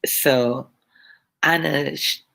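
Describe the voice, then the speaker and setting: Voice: mid-range voice, fairly even intonation, drawn-out words, gravelly, fairly bright tone, low-quality recording. A middle-aged tutor from the United States reads a classic novel aloud, then chats playfully with live viewers. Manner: chatty and playful with live viewers, self-deprecating. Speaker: tutor hosting a live read-along.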